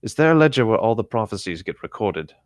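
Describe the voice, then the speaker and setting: Casting: man with a lowish voice and close, dry sound for casual story reading and banter. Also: sing-song, fast talker, dry recording. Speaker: co-host of a fanfiction reading show. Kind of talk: casual reading of fiction with commentary.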